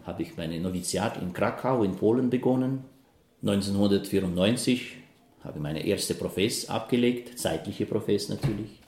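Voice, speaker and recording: A man in his fifties from Ukraine, a priest, speaking German with a Ukrainian accent in halting interview answers with frequent fillers. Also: fairly low pitch, a little mellow. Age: 50s. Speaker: Salesian priest. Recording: roomy echo.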